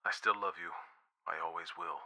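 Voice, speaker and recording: A devoted young boyfriend , as a man reads him in an audiobook. He speaks softly and gently in a lowish voice, sincere and earnest. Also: lively intonation, a little fast, lightly acted. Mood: tender, sad. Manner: soft, gentle. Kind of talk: audiobook character acting.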